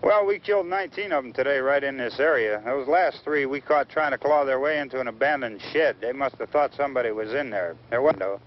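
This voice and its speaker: An actor playing a plainspoken, matter-of-fact American small-town sheriff, outdoors in an old film. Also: male voice, medium pitch, brisk, few pauses, lightly acted. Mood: matter-of-fact, unfazed. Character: plainspoken, unfazed male small-town sheriff leading a posse. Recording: dull, noisy old outdoor film sound.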